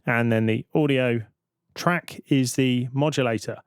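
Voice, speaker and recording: A man giving an informal, step-by-step software demonstration as a tutorial presenter. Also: medium pitch, measured pace, clean tone, dry recording, clean studio sound.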